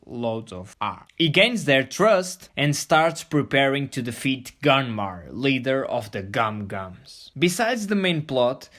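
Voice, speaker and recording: Guy hosting a tv review video, jokey asides, takes his time, normal pitch. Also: fairly bright tone. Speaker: host of a review show.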